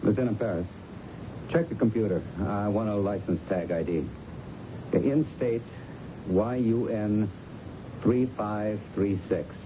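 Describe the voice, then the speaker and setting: An actor playing a grave, persistent police lieutenant in a radio drama, speaking in a deliberate, formal, probing way. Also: male voice, fairly low pitch, close to an everyday voice. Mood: businesslike. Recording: dull, noisy old radio recording.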